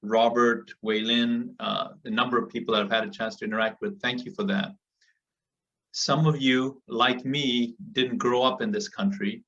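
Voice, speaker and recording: Earnest man, bright voice, muffled call. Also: medium pitch, steady tone, moderate pace. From Africa. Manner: earnest, emphatic repetition. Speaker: speaker on a group call. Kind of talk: motivational speech. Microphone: call audio. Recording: muffled, distant call audio.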